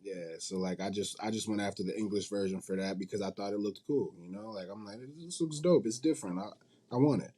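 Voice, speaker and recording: A man's voice: fairly low pitch, fast talker, warm tone, slight background noise. A man speaking as an interview guest in an informal, slangy, conversational way. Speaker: manga company CEO, interview guest. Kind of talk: casual interview chat.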